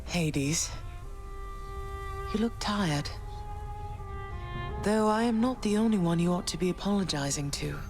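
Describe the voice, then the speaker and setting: A regal goddess queen, speaks slowly in a lowish female voice. She is poised and firm, in dramatic game style, with music clearly behind her. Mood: calm, resolute. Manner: measured, poised, formal diction. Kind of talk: dramatic video game voice acting.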